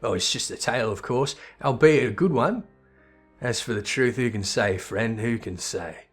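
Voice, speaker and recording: Gruff fantasy game barkeep, played by a man: wry, teasing, colloquial storyteller, music underneath. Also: mid-range voice, normal speed, close to an everyday voice. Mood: wry storytelling. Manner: colloquial, teasing, rough-spoken. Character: gruff, wry male tavern keeper telling old tales. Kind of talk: character voice reading video game dialogue.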